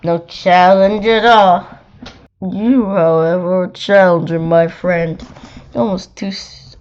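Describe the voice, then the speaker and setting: A woman's voice: on the low side, a little mellow, muffled recording. A woman voicing a boy's own male monster in a storybook, fond and teasingly menacing.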